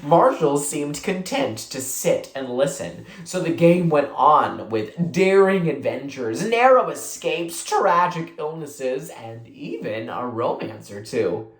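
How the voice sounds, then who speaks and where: A narrator reading a children's book aloud in an expressive storytelling style. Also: medium pitch, slow pace. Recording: roomy, echoing sound.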